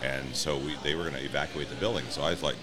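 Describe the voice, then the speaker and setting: Very deep-voiced middle-aged man chatting casually. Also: quick pace, music in the background. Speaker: stadium public address announcer, interview guest. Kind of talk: casual conversational storytelling.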